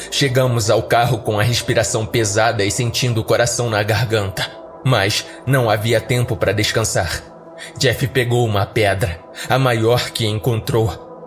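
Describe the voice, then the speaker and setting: A man narrating a scripted first-person horror story for a podcast. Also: medium pitch. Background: faint music.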